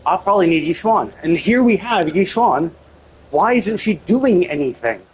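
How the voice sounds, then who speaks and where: A man with a slightly high voice rants casually over a game stream. He is exasperated and emphatic, swearing freely, and there is some light background noise. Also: expressive, moderate pace, poor audio. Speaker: livestreamer. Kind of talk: casual gameplay commentary rant.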